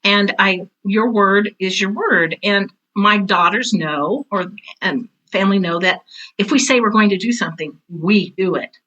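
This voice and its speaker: Bright-voiced older woman, blunt and candid. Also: medium pitch, a little slow, lo-fi sound. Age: 60s or older. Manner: blunt, animated storytelling with self-quotes. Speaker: interview guest, veteran theater teacher. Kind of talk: candid conversational interview.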